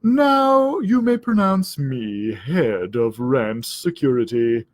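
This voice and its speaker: Male reader as silky, sly cartoonish cat for a children's story; slow, deliberate drawl, close dry sound. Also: mid-range voice, sing-song, dry recording. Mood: mock regretful. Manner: drawling, falsely sympathetic, deliberate. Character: sly, smug, silky male cat scheming against a dog.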